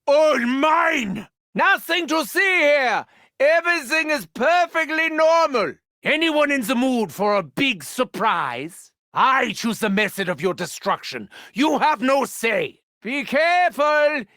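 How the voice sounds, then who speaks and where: An actor playing a gleefully sadistic German mad scientist villain in a video game, theatrical and grandiose, with a German accent and mocking, formal phrasing. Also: male voice, extremely high pitch, sharp tone, no room sound, clean studio sound, heavily acted. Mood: manic, gleeful. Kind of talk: video game character voice lines.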